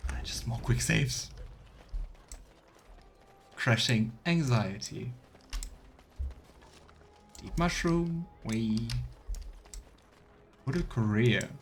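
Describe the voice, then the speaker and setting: A man's voice: mid-range voice, lively intonation, extremely slow, pauses often, a little hiss or hum. A man narrates his let's play of a fantasy role-playing game in a mid-range voice. He moves between reading text aloud and playful, questioning asides to himself and viewers.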